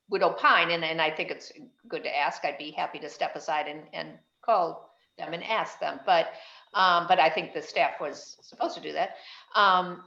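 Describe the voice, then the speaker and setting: A woman's voice: on the low side, fairly bright tone. A woman at a public committee meeting on a video call, talking in a hedging, conversational way and often repeating her words. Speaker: committee member. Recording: echoey, muffled video call audio.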